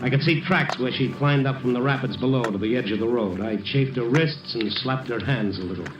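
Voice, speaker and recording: A man acts out a wry American newspaper reporter in a vintage radio detective drama. He tells his story hard-boiled and flirtatious, in a medium-low voice. The recording is dull and roomy, with music playing behind him. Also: a little fast, recorded from a distance, natural voice. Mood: brisk concern. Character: wry, flirtatious big-city night-beat newspaper reporter. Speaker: lead actor and narrator. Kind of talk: 1940s-50s radio detective drama narration and acting.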